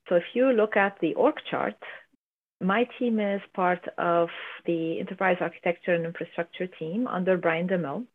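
A middle-aged woman from Lebanon who directs a university IT team. She is a podcast guest and explains things earnestly, step by step. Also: medium pitch. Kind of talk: conversational interview answers. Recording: tinny phone-like line.

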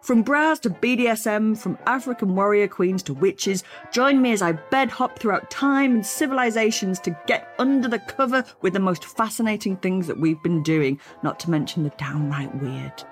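A woman's voice: medium pitch, normal speed, hardly any pauses, faint music behind. A woman podcast host, narrating a scripted promo in a playful, wry way.

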